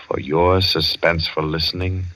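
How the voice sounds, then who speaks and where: A man with an American accent narrating dramatically and deliberately as a 1940s radio announcer. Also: low voice, flat delivery, sharp tone, rough recording. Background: orchestral music.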